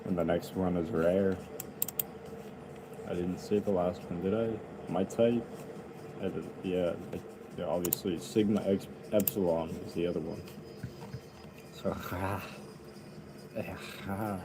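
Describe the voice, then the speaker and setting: A man's voice: low-pitched, steady tone, measured pace, long pauses, noisy background. A man, a rapper, chatting casually and ramblingly to his viewers, loose and offhand.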